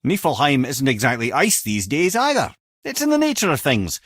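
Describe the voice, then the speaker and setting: A man's voice: mid-range voice, sing-song, moderate pace, a bit bright, slightly rough, no room sound, studio quality. An actor voicing a wise, wry older Scottish man, a talking severed head in a video game, with a theatrical, folksy manner. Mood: wry, musing. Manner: wry, theatrical, folksy, addresses listeners as brother and lad. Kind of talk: expressive video game character acting, storytelling and banter.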